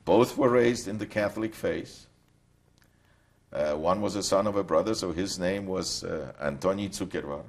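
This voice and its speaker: Older guy, really low voice, thoughtful, stops and starts a lot while telling his story. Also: vocal fry. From Poland. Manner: reflective, halting storytelling. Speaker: interviewee. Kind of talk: recorded testimony.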